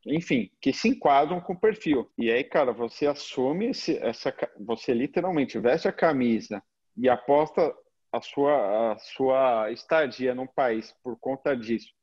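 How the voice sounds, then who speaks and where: Man in his thirties, São Paulo accent, mid pitch, slangy casual storytelling, dull video-call sound. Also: animated, unhurried, a little mellow, slightly rough. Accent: São Paulo Brazilian Portuguese. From São Paulo, Brazil. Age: 30s. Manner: informal, slangy, frequent tag questions. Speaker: interview guest, agency founder. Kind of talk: casual anecdotal chat. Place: indoor room at home, video call.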